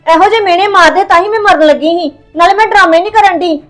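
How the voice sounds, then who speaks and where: A woman's voice: on the high side, a little fast, dark tone, soft background music, close to an everyday voice. Sandeep, a woman playing a wailing scheming sister. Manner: wailing, pleading. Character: scheming young sister faking despair. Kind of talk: Punjabi family drama acting.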